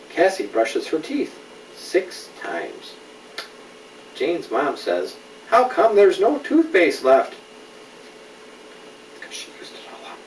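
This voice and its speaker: A middle-aged man reading a children's story aloud in an animated way, with conversational questions to a young listener. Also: fairly high pitch. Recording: roomy, distant home recording with noise.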